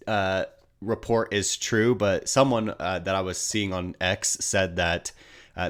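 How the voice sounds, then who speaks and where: A man's voice: deep voice, fairly bright tone. An American man getting over a cold, slightly nasal, giving casual hockey news commentary in a hesitant, rambling way.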